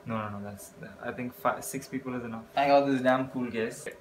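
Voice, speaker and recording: A man's voice: medium pitch, steady tone, a little fast, slight background noise. A young man, chatting casually as a friend on a vlog. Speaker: vlogger's friend. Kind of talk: casual chat. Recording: echoey, music behind. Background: music.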